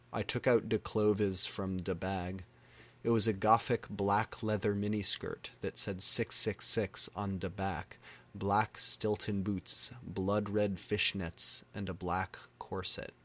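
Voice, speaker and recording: Slow-reading man with a low voice. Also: level tone, fairly bright tone, no echo. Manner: reads misspellings and punctuation literally. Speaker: fan fiction reader. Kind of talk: dramatic comedic reading aloud of fan fiction.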